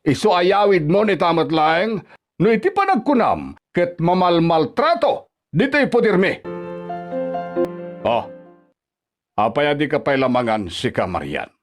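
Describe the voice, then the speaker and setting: A scornful middle-aged father-in-law in an Ilocano-accented radio drama. He harangues slowly, his pitch swinging widely, mocking and bullying over background music. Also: sing-song.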